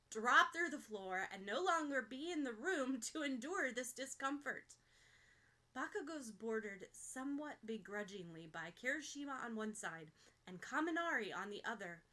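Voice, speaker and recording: Bright-voiced woman reading fan fiction. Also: a little high. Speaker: podfic reader.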